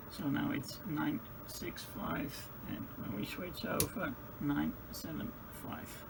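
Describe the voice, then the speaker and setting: A man giving an unscripted, hands-on technical demonstration as a tutorial host, explaining in halting pieces and often repeating his phrases. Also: on the high side. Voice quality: creaky, gravelly voice. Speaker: radio repair tutorial host. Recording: clearly noisy home-made video sound.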